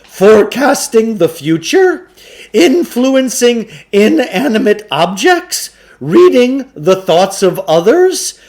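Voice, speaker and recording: A man voicing a pompous, flattering huckster shopkeeper from a storybook, in theatrical salesman patter with hesitant asides. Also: sits high, slow, voice pushed up, exaggerated voice. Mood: grandly coaxing. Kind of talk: storybook character voice.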